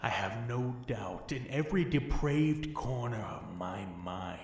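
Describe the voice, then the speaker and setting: A man playing an obsessive, seductive fallen dragon king held captive, speaking in a slow, teasing, theatrical purr for an intimate ASMR roleplay. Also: medium pitch, animated, creaky. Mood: seductive, possessive. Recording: roomy echo with faint noise.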